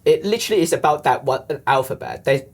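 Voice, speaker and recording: A deep-voiced man speaks in a middle pitch. He explains points earnestly and at length, with frequent false starts and repeated words. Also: animated, quick pace, creaky. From Hong Kong. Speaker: interview guest. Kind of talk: conversational interview.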